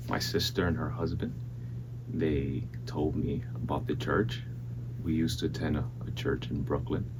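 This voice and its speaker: A man in his twenties from the Dominican Republic, giving an earnest, halting personal faith testimony in a soft-spoken way. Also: rumbling low. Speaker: church member giving testimony. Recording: steady electrical hum behind voice.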